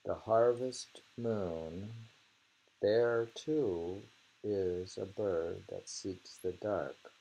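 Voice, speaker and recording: A man reading poems aloud and commenting informally, musing and repeating lines deliberately. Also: lowish voice, gravelly, mellow, low-quality recording. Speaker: reader of haiku poetry. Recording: noticeable hiss and background noise.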